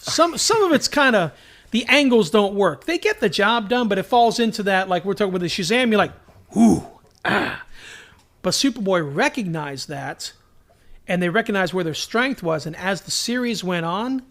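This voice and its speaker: A man's voice: high-pitched, animated, measured pace, a bit bright. A man co-hosting a podcast in casual fan discussion, speaking emphatically and with strong opinions, often in anecdotes. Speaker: podcast co-host. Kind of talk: casual enthusiast discussion about TV shows.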